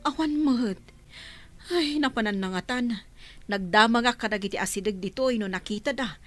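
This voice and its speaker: A woman acts out a worried daughter in a radio drama, searching for her lost mother. Her voice is high, with faint music underneath. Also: lightly acted. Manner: fretful, quick.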